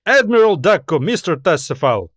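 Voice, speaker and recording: Audiobook part: male reader as young military messenger, higher pitch, earnest, eager, slightly condescending, dry sound. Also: big pitch swings, moderate pace, a bit bright, dry recording, full character voice. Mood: indignant. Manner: cheerful, eager, indignant and condescending.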